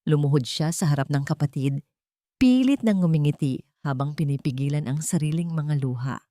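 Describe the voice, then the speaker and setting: A woman's voice: low-pitched, normal speed, mellow, no room sound, clean studio sound. A woman reading a dramatic romance story as a scripted narration.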